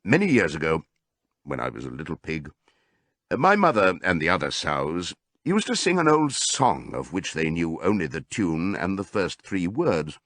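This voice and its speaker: A man voicing an old male pig in an audiobook, giving a solemn, deliberate, rousing speech to his comrades. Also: sits low, very animated, a bit bright, no room sound, studio quality, voice pushed down, full character voice. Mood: grave, reminiscing. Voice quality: hoarse as scripted old voice. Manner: oratorical, deliberate.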